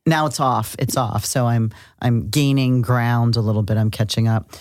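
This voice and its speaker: Woman, middle-aged, strikingly low register, conversational podcast co-host who thinks out loud, dry studio-like sound. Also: steady tone, moderate pace, no room sound. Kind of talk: casual chat about astrology.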